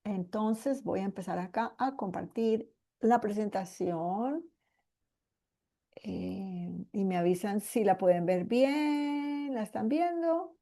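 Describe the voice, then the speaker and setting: A woman in her fifties with a Colombian Paisa accent, speaking with a mellow, warm tone over a video call microphone. She explains things patiently as a guest speaker and often pauses to find the right words. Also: medium pitch, gravelly. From Colombia (Medellín). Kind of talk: online presentation to a support group.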